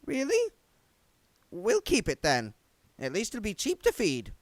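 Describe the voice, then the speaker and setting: A man's voice: high-pitched, huge pitch swings, a little slow, no room sound, pitched above the performer's own voice, full character voice. A man voicing a practical older country woman, absent-minded and matter-of-fact, in a storybook reading. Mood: absent, resigned. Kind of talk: audiobook character voice.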